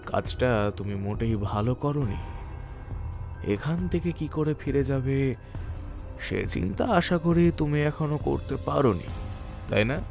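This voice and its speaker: A man's voice: mid-range voice, animated, measured pace, vocal fry, long pauses, a little mellow. A man playing a menacing smuggling boss in an audio drama, drawling and mock-polite. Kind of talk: Bengali audio drama acting. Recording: music behind the voice.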